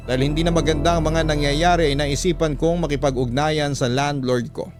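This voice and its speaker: A man narrating a first-person confession as scripted radio storytelling, measured and earnest, recorded close on a studio mic. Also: on the high side, close to an everyday voice.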